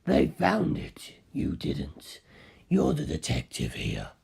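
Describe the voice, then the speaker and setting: An aloof, all-knowing superhuman man. The voice drops very low and heavy. Words come slowly and deliberately, with cool dismissiveness. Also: huge pitch swings, vocal fry, darkish tone, husky, full character voice. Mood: flat contradiction. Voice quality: very deep, heavy voice.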